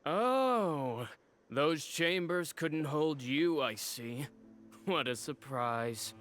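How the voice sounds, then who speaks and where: Male villain, notably high voice, sneering, pompous, bitter, theatrical game acting, faint music. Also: animated, very slow, fairly bright tone, heavily acted. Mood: smug, mocking. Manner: mocking, pompous, drawling.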